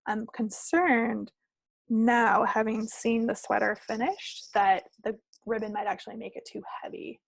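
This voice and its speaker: A woman's voice: fairly high pitch, fairly even intonation, unhurried, gravelly, no room sound, low-quality recording. A woman co-hosting a knitting podcast, chatting in a casual, rambling, unscripted way. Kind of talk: casual, unscripted craft chat.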